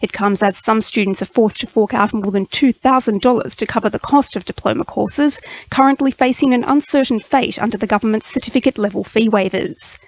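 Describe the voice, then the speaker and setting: An Australian woman reads the news on radio in a scripted bulletin style. Her voice sits a little high. The broadcast audio is slightly dulled. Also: fairly quick, few pauses, muffled recording. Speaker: radio newsreader.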